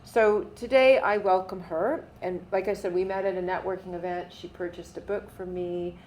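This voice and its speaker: Woman, interview host, upbeat, lower pitch, faint background noise. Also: fairly even intonation, moderate pace, a little mellow. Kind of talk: interview introduction.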